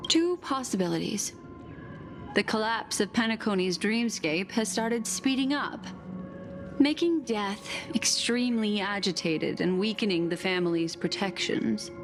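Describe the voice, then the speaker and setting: Actress playing enigmatic female game guide, poised, courteous, measured delivery, mid-pitched voice, clear music underneath. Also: close to an everyday voice. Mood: analytical, grave. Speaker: game character. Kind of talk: scripted video game dialogue. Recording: music behind the voice.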